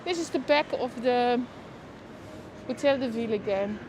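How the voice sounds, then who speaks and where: A woman's voice: on the high side, normal speed. A woman with a Dutch accent hosting a live walking tour outdoors, chatting with her viewers and reacting to what she passes. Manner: chatty, reacts aloud, talks to viewers by name. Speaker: livestream walking-tour host. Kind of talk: casual live walking commentary. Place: outdoors on city streets. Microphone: lapel mic while walking. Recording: street noise behind.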